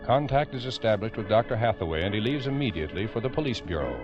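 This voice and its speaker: A grave narrator voiced by a man delivers formal vintage radio crime narration in a low voice. Prominent music plays behind the dull old recording. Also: expressive, fast, close to an everyday voice.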